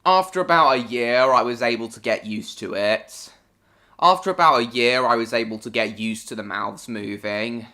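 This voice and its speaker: Male streamer from Hong Kong, bright, forward voice; rambling, talks back to chat, swears at game slip-ups. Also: mid-range voice, moderate pace. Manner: answers viewers by name, rambling and repeating himself; bursts into swearing at game mishaps. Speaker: live streamer. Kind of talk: casual live-stream chat while gaming.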